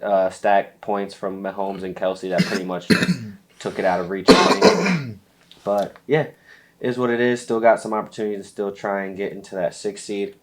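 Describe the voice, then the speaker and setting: A man co-hosting a casual fantasy football show, sounding stuffy from allergies, relaxed with many fillers and small laughs. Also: fairly low pitch. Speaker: podcast co-host.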